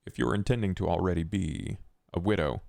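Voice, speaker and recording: A man playing a stoic, cold male vampire accountant in a tabletop roleplay, with formal, terse, deadpan delivery. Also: low voice, a little fast, vocal fry, dry recording, studio quality. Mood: measured, pragmatic. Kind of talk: in-character tabletop roleplay acting.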